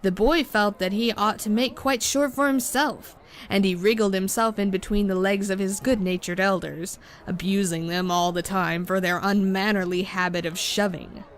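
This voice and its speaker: A woman reading a storybook as narrator, in a steady, measured way. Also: medium pitch. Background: music.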